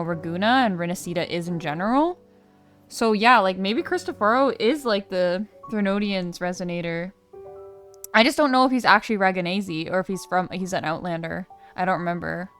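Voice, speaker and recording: A woman's voice: medium pitch, fairly bright tone. Chatty young woman gaming over music. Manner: chatty, slangy, speculating aloud. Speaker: gaming streamer. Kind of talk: casual let's play commentary. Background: game music.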